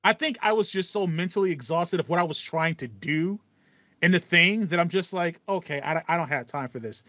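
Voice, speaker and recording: A man in his thirties with a slightly high voice talks casually as a podcast host. He likes to act out thoughts as he goes. The sound is dry and a little muffled, as if on a headset in a small room. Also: animated, fairly quick, fairly bright tone, no room sound. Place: small room at home with acoustic foam, indoors. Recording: slightly dull, band-limited audio.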